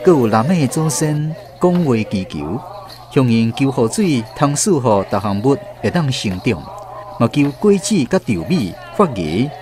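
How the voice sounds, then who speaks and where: A medium-pitched man with a Taiwanese accent narrates a radio drama. His storytelling is expressive and very lively in intonation, with music underneath. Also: a little slow.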